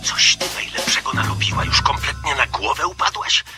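A man's voice: medium pitch, expressive, fairly quick, music in the background, close to an everyday voice. An actor playing an exasperated, sardonic man scolding his friend in an audio drama. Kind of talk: scripted audio drama acting.

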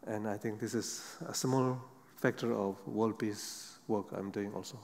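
A middle-aged man, a monk seated on a large theater stage, talks in careful, halting English, often stopping to search for words. His pitch sits a little on the low side, and his voice carries a long hall reverb. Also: fairly even intonation, gravelly, a little mellow. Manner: halting non-native English with many pauses and "you know". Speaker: Buddhist monk panelist. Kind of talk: unscripted panel talk.

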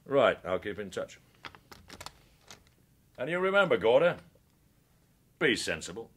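An actor playing a commanding, suspicious security service boss in a radio crime drama, measured and menacing. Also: male voice, mid-range voice, sing-song, fairly bright tone, breathy edge, exaggerated voice. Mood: measured threat.

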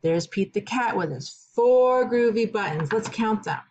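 A middle-aged woman, a kindergarten teacher, reading a story aloud and teaching counting to young children in simple, deliberate phrases with questions. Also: medium pitch, animated, darkish tone, muffled recording.

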